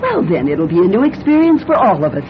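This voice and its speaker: A woman's voice: very animated, fast, lightly acted. Gracious, motherly lady played by an actress. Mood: friendly. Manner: gentle, reassuring. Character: gracious, motherly, soothing wealthy married lady. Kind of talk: radio drama acting. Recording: dull, noisy old radio recording, vehicle rumble.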